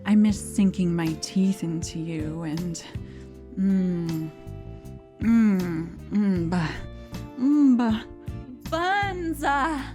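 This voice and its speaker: A woman's voice: mid-range voice, animated, extremely slow, long pauses, mellow, soft background music. A woman playing a lovesick pastry craver in a sultry parody advert, lingering over and repeating words. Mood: sultry, craving. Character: lovesick woman swooning over sticky cinnamon buns.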